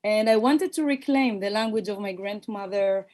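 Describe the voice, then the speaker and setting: A woman's voice: medium pitch, normal speed, darkish tone. A woman from Israel with an Israeli accent and a native Hebrew background, introducing herself as a guest musician in a thoughtful, hesitant way with many fillers. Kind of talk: conversational self-introduction.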